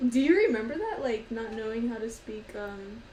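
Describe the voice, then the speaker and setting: A young woman chats casually as co-host, quick to laugh and agree with many "mm-hmm"s. Her voice sits a little high. The room echoes, with faint noise underneath. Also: animated, a little mellow. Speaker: podcast co-host. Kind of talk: casual podcast chat.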